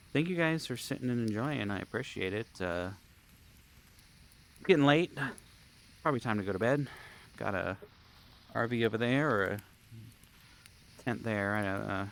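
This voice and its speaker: A man's voice: on the low side, creaky, slight background noise. A man telling stories in a casual, rambling vlog style, with a jokey, self-deprecating manner. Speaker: vlogger and camp event organizer.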